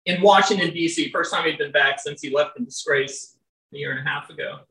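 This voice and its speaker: A poet with a naturally loud, bright voice, trading wry, chatty asides before slowing into measured lines of their own verse. The sound is roomy and a little distant, picked up in an indoor venue where the speaker drifts off the stage mic. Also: medium pitch. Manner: wry, chatty between poems, slow and measured when reading. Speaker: poet reading own work. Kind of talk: live poetry reading with casual asides. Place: indoor venue with live audience. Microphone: stage microphone, often off-mic.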